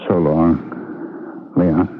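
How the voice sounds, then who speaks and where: An actor playing an earnest, kindly, easily flustered husband in an old-time radio drama, with a husky voice. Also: male voice, sits low, flat delivery, drawn-out words, over music. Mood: warm reassuring. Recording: tinny vintage radio broadcast recording.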